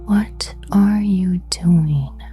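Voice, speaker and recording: An actress playing a wary, secretive, capable woman in a sci-fi horror audio drama, breathy and often close to a whisper. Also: fairly low pitch, drawn-out words, mellow, soft background music. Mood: hushed, cautious.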